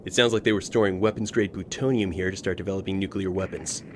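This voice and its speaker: A man's voice: low-pitched, fairly even intonation, fast, background noise, lightly acted. A man playing a clipped, businesslike military team leader in an audio drama. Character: brisk, no-nonsense male special agent team leader. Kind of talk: sci-fi audio drama acting.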